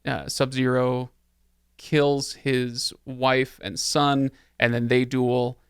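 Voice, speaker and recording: A man's voice: medium pitch, flat delivery, slow, clean tone, no room sound, studio quality. A man hosting a casual podcast conversation about films. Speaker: podcast host. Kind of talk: casual chat.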